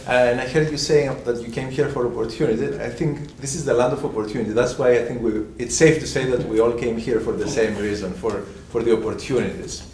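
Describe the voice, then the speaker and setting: A man's voice: medium pitch, fairly even intonation, brisk, few pauses, a little mellow, slight background noise. Greek-born man, distant and echoey. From Greece. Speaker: panel speaker. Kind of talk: personal story at a community event. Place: library event room.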